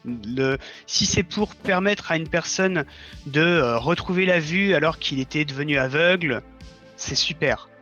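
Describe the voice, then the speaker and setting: A man's voice: on the high side, unhurried, sharp tone, muffled recording, soft background music. A man speaking as a guest in a conversational interview, explaining his views earnestly. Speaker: interview guest, audio fiction writer.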